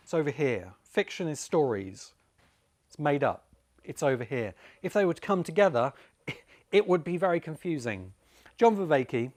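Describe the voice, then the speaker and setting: A man with a medium-pitched voice delivers an explanatory lecture as a presenter and teacher. He stresses key words firmly and phrases his points deliberately, leaving dramatic pauses between them. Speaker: presenter and writing course teacher. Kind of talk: explanatory video essay, semi-spontaneous lecture.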